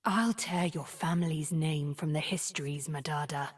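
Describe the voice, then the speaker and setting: A woman voicing a menacing, manipulative sorceress from a video game, husky and breathy, with slow, taunting threats. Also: sits low, steady tone. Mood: cold menace. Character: menacing, manipulative sorceress, a cold scheming deceiver. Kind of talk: video game champion voice lines.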